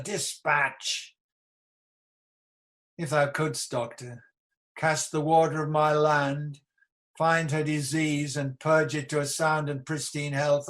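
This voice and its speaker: A man's voice: fairly high pitch, a bit bright. An amateur actor playing an ageing, embattled tyrant king in a Shakespearean radio play, declamatory, defiant and world-weary. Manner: declamatory, slow, theatrical.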